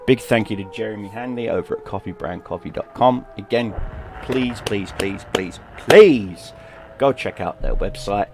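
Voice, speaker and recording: A man's voice: on the low side, moderate pace, darkish tone. A man in his thirties with a Yorkshire accent, giving chatty, joking commentary on a tank game from a small room at home. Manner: chatty, jokey, sings and hums along. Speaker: gaming streamer. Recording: game sound under the voice.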